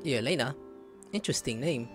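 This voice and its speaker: A man's voice: medium pitch, expressive, fairly quick. A man doing playthrough commentary as a gaming streamer, reactive, exclamatory and prone to swearing. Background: game music.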